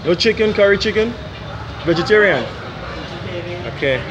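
A man's voice: high voice, normal speed, highs cut off. A young man talking casually to a handheld camera as he walks a busy city street, thinking aloud. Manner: relaxed, thinking aloud while walking. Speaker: travel vlogger. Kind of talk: casual walking vlog. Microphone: camera held at arm's length. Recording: outdoor street noise, camera mic. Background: loud traffic and street noise.

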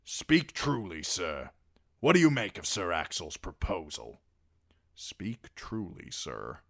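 A man voicing a stern, grim fantasy king in an audiobook, measured, curt and authoritative. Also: deep voice, huge pitch swings, gravelly, slightly rough, full character voice. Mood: stern, commanding.

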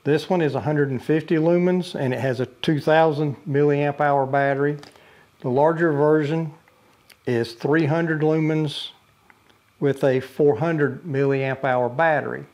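A man's voice: on the high side, fairly even intonation, a little slow, a little mellow. An older man casually demonstrating a camping lantern in his living room, talking plainly with frequent uhs and restarts. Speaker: product reviewer. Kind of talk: casual product demo. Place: living room with a brick wall, indoors.

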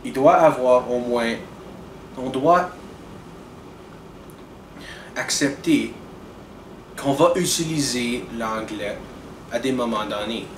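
Young man, earnest and deliberate, far from the mic, air-conditioner hum. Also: medium pitch, echoey room. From Canada. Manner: earnest, deliberate, with frequent restarts and hand gestures. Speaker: video blogger. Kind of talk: direct-to-camera commentary. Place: indoors in front of a dark curtain.

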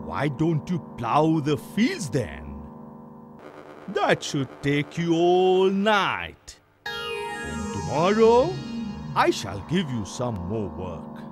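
An actor playing a lazy, timid middle-aged husband in a children's cartoon, with an exaggerated, drawn-out delivery. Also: male voice, a little high, wildly swooping pitch, music in the background, full character voice. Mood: nervous. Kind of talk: animated children's story acting.

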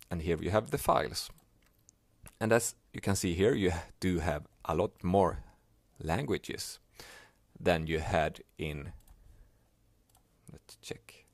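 A man whose first language is Swedish, explaining a software task step by step in a plain, unhurried way. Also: low-pitched, gravelly, no room sound. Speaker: software tutorial maker. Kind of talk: screen-recorded software tutorial.

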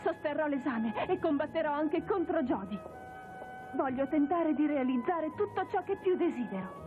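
High-voiced, sincere teenage girl. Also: lively intonation, normal speed. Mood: sincere resolve. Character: sincere, reluctant teenage girl judo prodigy. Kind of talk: cartoon dubbing. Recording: narrow phone-like dub with music behind.

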